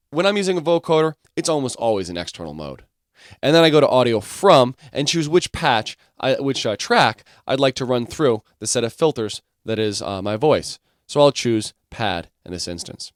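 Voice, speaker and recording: A man with a mid-pitched voice explains music software like an instructor. His delivery is informal and explanatory, working through the steps one by one. The sound is dry, with very little room in it. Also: no echo. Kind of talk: software tutorial, explaining step by step.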